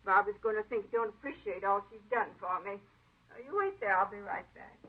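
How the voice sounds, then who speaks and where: An aggrieved, fussy older woman in a vintage radio drama. She grumbles in a folksy, indignant manner at an average pitch. The old recording is dull and muffled. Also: brisk, breathy edge. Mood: wry. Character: fussy, aggrieved aunt robbed by a cleaning woman.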